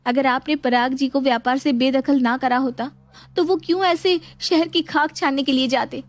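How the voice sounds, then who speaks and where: A woman's voice: high-pitched, fairly even intonation, moderate pace, no echo, natural voice. A woman playing a put-upon young daughter-in-law, polite yet scheming, in an acted moral story. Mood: polite, pleading.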